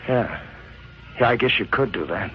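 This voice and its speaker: An actor playing a desperate married man on the run in an old-time radio crime drama, urgent and halting, with a gravelly voice. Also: medium pitch, close to an everyday voice. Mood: anguished, resigned. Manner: urgent, pressing, halting when cornered. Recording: muffled, hissy old radio recording.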